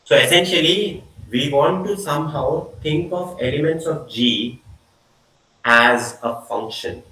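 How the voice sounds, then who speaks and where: A young man lectures on maths over a video call, his voice bright and a little distant from the laptop mic. The room gives it a clear echo. He talks informally, restarting words and letting sentences trail off. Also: fairly high pitch, measured pace. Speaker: student lecturer. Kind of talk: online math lecture. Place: small room indoors. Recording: echoey video call audio.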